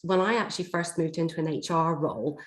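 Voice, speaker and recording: Slightly hoarse woman leading a video-call workshop. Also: low-pitched, quick pace. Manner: steady explanatory delivery with frequent fillers. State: slightly hoarse, coughing from a chest infection. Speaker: learning and development trainer. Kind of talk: online workshop presentation. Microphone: computer mic on a video call. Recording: echoey room over a video call.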